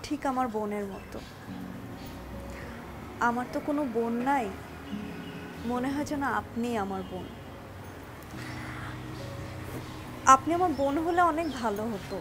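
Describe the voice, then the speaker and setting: High-voiced, shy young Bangladeshi wife, played by an actress. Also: female voice, expressive, normal speed, pauses often, natural voice. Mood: affectionate, confiding. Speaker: actress in a TV drama. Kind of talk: naturalistic Bangla TV drama dialogue. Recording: noisy drama location sound.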